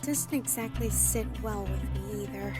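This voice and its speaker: A woman's voice: medium pitch, measured pace, loud music behind the voice, natural voice. A woman acting an eager, idealistic, nerdy young woman in a fan audio drama, earnest and playful. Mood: earnest, resolute.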